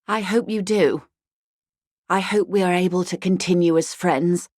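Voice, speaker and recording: Game casting: worldly negotiator woman, low register, dry close recording, polished, coolly ironic, politely menacing. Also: dry recording. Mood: courteously ironic. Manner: polished, measured, wryly courteous. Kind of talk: video game character dialogue.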